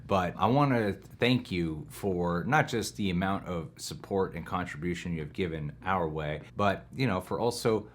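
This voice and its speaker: A man's voice: deep voice, normal speed, hardly any pauses. A young man co-hosting a movie reaction show, chatting casually with jokey, self-mocking bravado.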